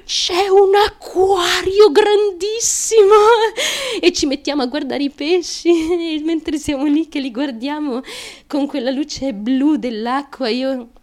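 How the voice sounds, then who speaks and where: Casting: naive, fiercely determined young woman hungry for fame; high-pitched, slow, halting stage monologue for radio. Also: a little mellow. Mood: childlike, dreamy. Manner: halting, repetitive, obsessive confiding. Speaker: stage actress.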